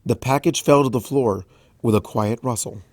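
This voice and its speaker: A narrator reading a comic fan story aloud, with expressive storytelling, recorded at home on a close mic. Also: mid-range voice, normal speed, no echo. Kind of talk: audiobook fanfic reading.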